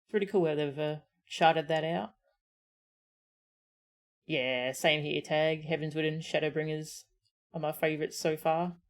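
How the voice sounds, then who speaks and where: Low-pitched woman reacting on a livestream. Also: fairly even intonation, unhurried, pauses often. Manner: enthusiastic, admiring asides. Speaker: streamer. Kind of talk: casual livestream reaction commentary.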